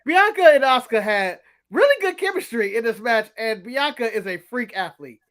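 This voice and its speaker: Animated American man chatting on a show. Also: very high voice, normal speed. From United States. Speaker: podcast co-host. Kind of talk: casual chat.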